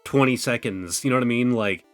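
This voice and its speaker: A young man talks loosely and at length, his voice thin and worn as if it is going out. He leans on fillers and loses his thread before finding it again. Faint music plays quietly behind him. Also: medium pitch, brisk. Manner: rambling, full of "like" and "you know". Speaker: card-opening YouTuber. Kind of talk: casual chatty commentary.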